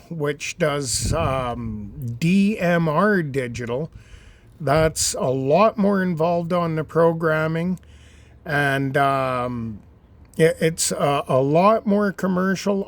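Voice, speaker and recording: A man casually explaining ham radios at an unhurried pace, with frequent pauses and restarts. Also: on the high side. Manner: unhurried, many fillers and restarts, plain-spoken. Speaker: ham radio hobbyist reviewer. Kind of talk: casual show-and-tell explanation.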